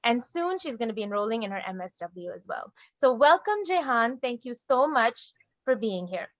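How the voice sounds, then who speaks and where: Woman moderator, high and dry, slightly dull call audio; formal introductions. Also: sing-song, moderate pace, no echo. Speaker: moderator and case management director. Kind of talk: online panel moderation.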